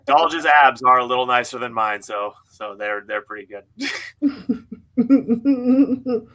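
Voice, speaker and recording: A man's voice: mid-range voice, big pitch swings, slow, bright, forceful tone. A young man hosting a casual livestream, reading out comments and bantering jokily, laughing through his words and swearing. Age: young adult. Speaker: author hosting a read-along livestream. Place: indoor room at home.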